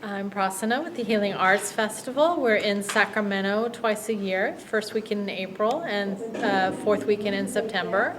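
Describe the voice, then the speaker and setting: A woman representing a festival, giving a friendly promotional pitch about the event. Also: moderate pace, hardly any pauses, slight background noise. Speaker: festival organizer. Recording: busy crowd behind. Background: crowd chatter.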